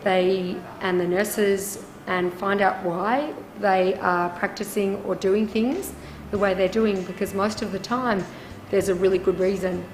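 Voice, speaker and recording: A woman's voice: medium pitch, steady tone, a little slow, slight background noise. An Australian woman volunteering at a hospital, reflecting thoughtfully in an interview. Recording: roomy sound with music behind.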